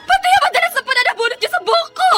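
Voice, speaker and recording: Casting: long-suffering young Filipina servant for radio melodrama, piercingly high, earnest, faint music underneath. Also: female voice, expressive, racing, sharp tone, exaggerated voice. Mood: hurt, pleading. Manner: tearful, pleading, polite. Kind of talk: melodramatic radio drama acting.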